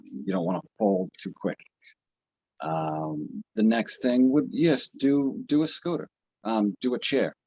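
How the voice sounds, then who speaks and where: A man in his forties from the United States, a patient panelist on a video call, who talks in a casual, halting, encouraging way. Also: mid-range voice, big pitch swings, vocal fry, dark tone, no room sound, dull audio. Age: 40s.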